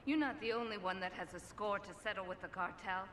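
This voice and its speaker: An actress voices a vengeful female crime boss in a video game cutscene. She is menacing and contemptuous, with a forceful, bright delivery. The sound is echoey with noise behind it. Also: quick pace, lightly acted. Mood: cold, menacing. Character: cold, vengeful, sadistic female Yakuza boss. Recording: echoey hall reverb, noisy rumbling background.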